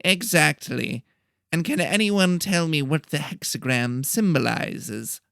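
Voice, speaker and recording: A man's voice: fairly high pitch, takes it slowly, gravelly, fairly bright tone, clear, resonant tone, no room sound, clean studio sound, voice pushed up, full character voice. A man voicing a small, wiry, pedantic professor with a nasal voice, lecturing in an audiobook. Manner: lecturing, questioning. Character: small wiry male professor, long-winded, pedantic, dry. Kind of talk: audiobook character voice.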